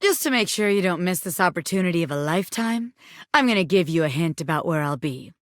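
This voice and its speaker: A woman's voice: lowish voice, animated, fast, dry recording, studio quality, natural voice. A woman voicing a cocky, self-important bobby pin who fancies itself a crime boss in a video game, swaggering, slangy and boastful. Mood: sly, persuasive. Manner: swaggering, slangy, boastful, peppering talk with pet phrases. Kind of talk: video game character voice acting.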